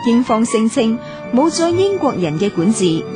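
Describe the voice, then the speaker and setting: Woman, formal documentary narration, lively melodic reading, music playing underneath. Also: a little high, normal speed. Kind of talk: scripted historical narration. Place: radio studio. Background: background music.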